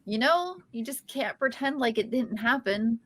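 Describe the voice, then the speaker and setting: A woman's voice: fairly high pitch, lively intonation, normal speed, fairly bright tone. A woman reading the part of a quirky, upbeat young woman nursing a breakup in a sitcom table read, chatty and a little goofy. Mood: earnest. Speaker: podcast host reading a part.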